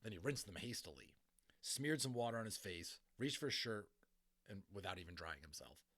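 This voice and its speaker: A man reading a children's novel aloud as a dramatic, expressive narrator. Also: lowish voice, moderate pace. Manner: expressive, theatrical. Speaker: audiobook reader.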